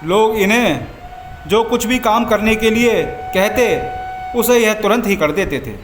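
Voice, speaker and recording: A man narrating a scripted religious story as a devotional storyteller, in measured, formal phrases with frequent pauses. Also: sits high. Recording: echoey voice over background music. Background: background music.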